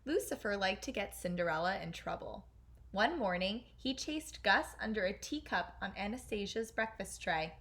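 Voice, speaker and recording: A woman teacher reading a children's fairy tale aloud in an expressive storytelling style. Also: mid-range voice, normal speed.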